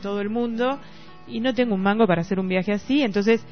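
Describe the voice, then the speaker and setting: Female radio host, middle-aged, Rioplatense accent, reflective and halting, with music playing behind a muffled recording. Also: medium pitch. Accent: Rioplatense Argentine Spanish. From Argentina. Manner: halting, searching for words. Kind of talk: casual reflective radio discussion.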